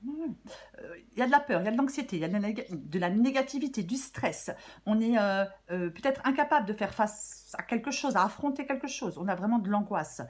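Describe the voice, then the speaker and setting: A woman with a French accent, slightly hoarse, giving a casual tarot reading to viewers and thinking aloud with many fillers and restarts. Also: mid-range voice, expressive, brisk. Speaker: tarot reader.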